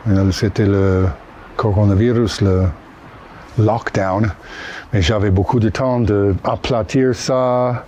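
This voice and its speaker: Older American man in his sixties, low dark voice, halting casual French with English slips, faint outdoor street noise. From Utah, United States. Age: 60s. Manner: relaxed, halting French with English words slipped in. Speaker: interviewee. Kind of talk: casual chat. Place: outdoors under a city bridge.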